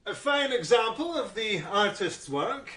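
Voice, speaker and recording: Echoey high auctioneer guy, formal n polite, lively, bit flustered, radio drama. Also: measured pace, exaggerated voice. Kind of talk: audio drama auction patter.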